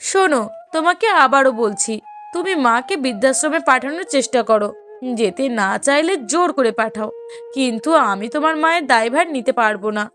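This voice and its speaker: A woman's voice: high-pitched, animated, fairly quick, fairly bright tone, soft background music. A woman voicing a cruel, bossy young daughter-in-law in a cartoon story, scolding and complaining sharply. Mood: scornful, bossy. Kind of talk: cartoon moral story voice acting.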